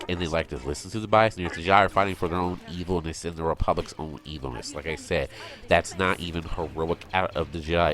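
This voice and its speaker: A man with a low-pitched voice speaks as an online commentator. He rants like an opinionated fan, pressing his points insistently and circling back to them with exasperation. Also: fairly bright tone.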